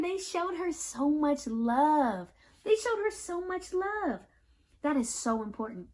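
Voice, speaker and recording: High-pitched, reassuring American woman reading to children. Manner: affirming, reassuring, speaks directly to young listeners; expressive, repeats phrases for emphasis. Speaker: children's read-aloud host. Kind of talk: picture book read-aloud with talk to children.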